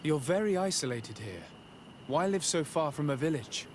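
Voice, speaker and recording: A man plays a courteous young noble envoy in a video game. He is composed and measured, with a slightly high voice and faint noise behind it. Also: lively intonation, lightly acted. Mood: calm concern. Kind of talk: video game dialogue acting.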